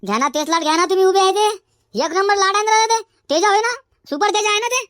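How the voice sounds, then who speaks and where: A performer voicing a haggling, bantering cartoon chilli-market trader, squeaky and folksy, in comedic dialogue. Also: quick pace, a bit bright, no room sound, studio quality. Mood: insistent haggling. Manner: folksy, insistent bargaining. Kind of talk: comedic cartoon dialogue in colloquial rural Marathi.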